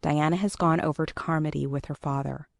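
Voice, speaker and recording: A woman putting on a cold, gravelly stern mother. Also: sits low, steady tone, a little fast, voice pushed down. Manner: stiff and cold. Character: cold, stern, prejudiced middle-aged mother.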